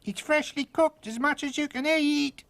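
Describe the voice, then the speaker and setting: A tiny squeaky polite boy, fairy tale style, super high. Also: moderate pace, dry recording. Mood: pleading. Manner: bright, eager, polite.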